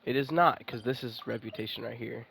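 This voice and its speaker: A man's voice: medium pitch, a little fast, vocal fry, a bit bright, slight background noise. A man giving informal gameplay commentary, thinking aloud and swearing casually as he goes. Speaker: game streamer.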